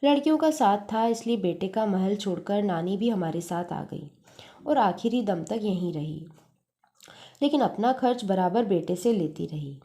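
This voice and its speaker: A woman storyteller with a mellow, relaxed voice of medium pitch. She reads literary fiction expressively, keeping an earnest and measured delivery.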